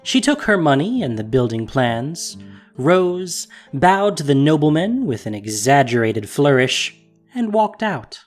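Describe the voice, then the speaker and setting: A man narrating a fantasy audio drama with expressive storytelling. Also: a little high, a little slow, soft background music.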